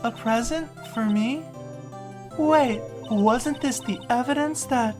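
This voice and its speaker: A man voicing a poised, kindly woman who is a chief lawyer and mentor, measured and gently teasing, in a fan-dubbed game. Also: very high-pitched, music in the background. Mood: amused. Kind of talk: fan-dub video game dialogue.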